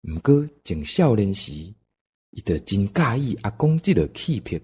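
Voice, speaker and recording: A male narrator with a Taiwanese accent tells a scripted story. His voice is lowish, mellow and husky, and his pitch moves around a lot. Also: sing-song, measured pace.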